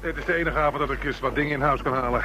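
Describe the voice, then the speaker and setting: An actor playing a brisk, homely bachelor policeman in a radio drama, with an animated, informal manner. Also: medium pitch, over loud music, natural voice. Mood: homely, cheerful. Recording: dull, noisy radio drama recording.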